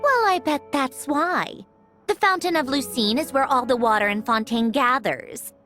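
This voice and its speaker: An actress voicing a self-assured, haughty young woman scientist in a game, explaining things in a crisp, condescending way. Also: high voice, normal speed, pushed and bright. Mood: brisk, matter-of-fact. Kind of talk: scripted video game character dialogue. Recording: game music behind the voice.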